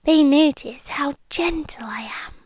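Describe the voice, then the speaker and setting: A British woman voicing a possessive vampire girlfriend in an ASMR roleplay, gentle and coaxing with quiet menace, often slipping into whispers close to the microphone. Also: high-pitched, dry recording. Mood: soothing, controlling. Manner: soft, deliberate, lingering pauses, slipping into whispers. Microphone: close microphone, dry.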